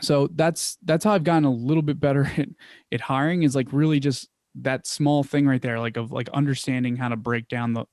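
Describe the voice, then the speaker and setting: A young man with a Philadelphia accent, answering interview questions casually, trailing off quietly at times, with many fillers and restarts. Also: mid-range voice, fairly even intonation, fairly quick, no room sound, studio quality. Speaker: podcast guest, founder.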